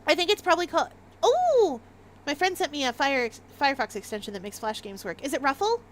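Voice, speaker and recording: Canadian lady w a slight maritime accent, rambly giggly stream chat, bit high voice, faint hiss. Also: very animated, brisk. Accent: light Maritime Canadian. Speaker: game streamer. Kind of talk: casual livestream chat.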